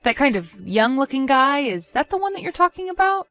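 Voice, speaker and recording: A woman's voice: high-pitched, big pitch swings, a little fast, a bit bright, soft background music. A woman playing a caring, cautious and practical wife, fussing conversationally in an audio drama. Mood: curious, casual.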